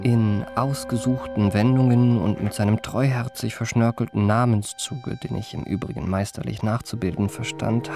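An actor plays a young gentleman swindler in a literary radio play. His voice is mid-range, and he phrases everything in ornate, courteous, self-regarding sentences. He sounds cultivated and eloquent throughout. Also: mid-range voice, close to an everyday voice. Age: young adult. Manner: ornate, elaborately polite, self-regarding diction. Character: eloquent, well-bred young male confidence man recounting his life.

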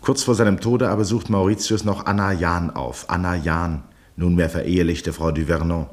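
An actor as the grave, measured narrator of a 1960s German radio drama, reading literary prose. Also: male voice, low voice, fairly even intonation, lightly acted.

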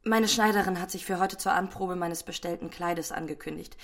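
A woman's voice: fairly low pitch, fairly even intonation, a little fast. A proud, formal young lady. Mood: flustered, composed. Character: proud, loyal young Parisian lady, lover of a gentleman thief. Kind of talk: radio drama acting.

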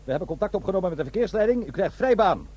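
Brisk, high-voiced police inspector. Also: male voice, big pitch swings, a little mellow, breathy edge, lo-fi sound, exaggerated voice. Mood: courteous. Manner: polite, official, brisk. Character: courteous, businesslike police inspector. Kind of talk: comic radio drama acting. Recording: noisy radio play recording.

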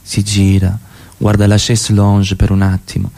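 A man's voice: lowish voice, monotone, moderate pace, vocal fry, mellow. A man narrating a radio play, reading the stage directions as scripted narration.

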